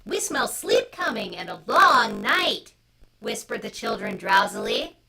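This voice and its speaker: A woman co-hosting story time, reading a picture book aloud in a hushed, drowsy manner. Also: medium pitch, huge pitch swings, a little slow, creaky, crowd noise.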